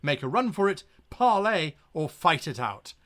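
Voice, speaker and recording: A man narrating a gamebook as game master on a live stream, with lively asides and pirate growls. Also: a little high, a little slow.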